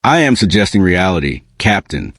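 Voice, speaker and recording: A stern German general gives orders quietly, with no emotion in his voice, read by a male narrator. The voice sits low, and the recording is dry. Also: big pitch swings, moderate pace, no echo, heavily acted. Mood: cold.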